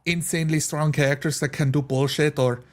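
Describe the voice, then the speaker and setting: A man's voice: fairly high pitch, fairly even intonation, brisk. A man talking casually in a group discussion as a tabletop role-playing player.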